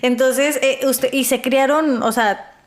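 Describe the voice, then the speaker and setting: A woman hosting a podcast, chatty and casual, keeping the guest going with quick backchannel sounds. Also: high voice, steady tone. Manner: chatty, frequent "ujú" backchannels. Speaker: podcast host. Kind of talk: casual interview.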